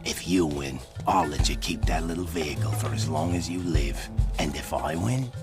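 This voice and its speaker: A man voicing a gruff, bullying desert vehicle boss in a video game, taunting and menacing in a rough manner. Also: a bass voice, normal speed. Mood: sly, taunting. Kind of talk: animated video game voice acting. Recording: music behind the voice.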